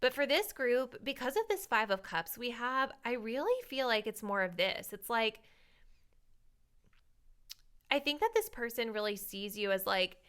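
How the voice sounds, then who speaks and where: A young woman reading tarot cards for her viewers in a chatty, thinking-aloud way, her voice a little raspy. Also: a little high, lively intonation, normal speed, few pauses, a bit bright. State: slightly raspy voice. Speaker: tarot reader.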